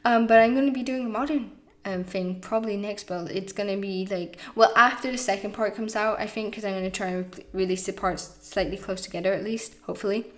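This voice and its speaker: A woman rambling through a relaxed gameplay voiceover, her voice going a little hoarse. She trips over her words, restarts sentences and leans on fillers as she chats along at an even, middling pitch. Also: a little fast, few pauses. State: losing her voice. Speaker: YouTube game builder.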